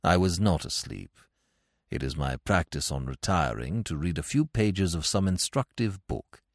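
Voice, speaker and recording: A man's voice: deep voice, animated, no echo, studio quality, voice pushed down. A man playing a grave, unflappable valet. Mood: composed. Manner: grave, measured, quiet. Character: calm, formal male valet, unflappable.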